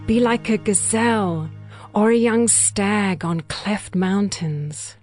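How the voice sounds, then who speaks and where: An actress playing a tender, devoted young bride in a dramatized scripture reading, with measured, poetic delivery. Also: female voice, mid-range voice, darkish tone, faint music behind.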